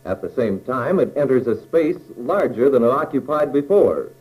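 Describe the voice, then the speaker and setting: An American man reading scripted instructional narration for a training film in a measured, explanatory way, with plain phrasing. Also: mid-range voice, very animated, darkish tone, low-quality recording.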